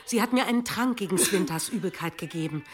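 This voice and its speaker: A woman's voice: lowish voice, lively intonation, fast, slight background noise, natural voice. An actress playing an anxious, earthy village woman nursing the sick in a radio play. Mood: worried. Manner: worried, earthy. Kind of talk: German radio play acting.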